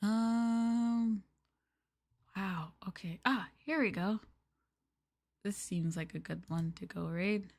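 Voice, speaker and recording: A soft-spoken woman streamer chatting casually on an ASMR livestream in an unhurried, hesitant way, close to the microphone. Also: medium pitch, fairly even intonation. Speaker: VTuber streamer, host.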